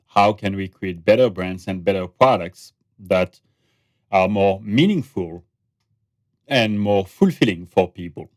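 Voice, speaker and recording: Guest spot: French-accented man, low deep voice, reflective podcast guest. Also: dry recording, studio quality. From France. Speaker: guest, brand researcher and author. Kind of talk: podcast interview conversation.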